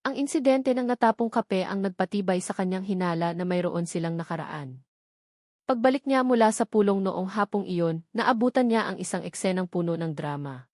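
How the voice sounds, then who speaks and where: Woman, Filipino accent, audiobook narrator, dramatic storytelling, mid-pitched voice, dry recording. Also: expressive, moderate pace, a little mellow, no room sound.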